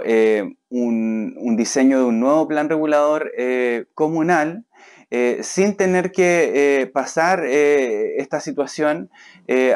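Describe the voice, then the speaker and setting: A Chilean man in his thirties gives an official explanation for a news interview. His medium-pitched delivery is very hesitant, with drawn-out pauses, recorded on a lapel mic indoors. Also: darkish tone. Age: 30s. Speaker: municipal works director. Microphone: lapel microphone.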